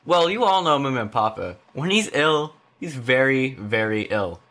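A man voicing a gentle, soothing troll mother in a cartoon fandub. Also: medium pitch, lively intonation, measured pace. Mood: caring.

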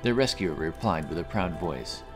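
A man narrates a fantasy adventure from a script. His voice is deep and moves expressively up and down, over clearly audible music. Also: animated, normal speed.

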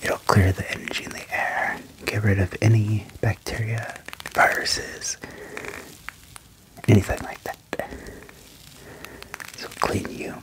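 A young man whispers gently and reassuringly in an ASMR medical roleplay as a doctor, close to the microphone. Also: fairly low pitch, little pitch movement, slow pace, long pauses, poor audio. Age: young adult. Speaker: ASMR performer role-playing a doctor. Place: small indoor room. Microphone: close desk mic with furry windscreen.

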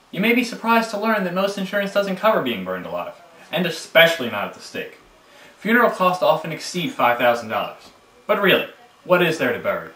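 A slick, earnest TV pitchman played by a man sells insurance in a comic parody ad. His bright, fairly high voice rises and falls a lot, in an echoey room. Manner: salesmanlike, rhetorical. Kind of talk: parody commercial read in comedy play. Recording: echoey room, distant microphone.

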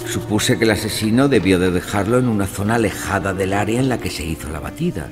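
A man playing a clever, self-assured gentleman who explains and narrates in a formal, measured style for an audio drama. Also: on the low side, music in the background, close to an everyday voice. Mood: explaining.

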